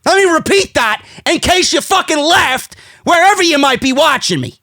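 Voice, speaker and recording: Loud high-pitched New York man, wildly animated. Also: moderate pace, no room sound, studio quality. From United States. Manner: brash, profane, indignant, emphatic, repeats phrases for effect. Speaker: wrestling commentary host. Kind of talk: opinionated rant commentary.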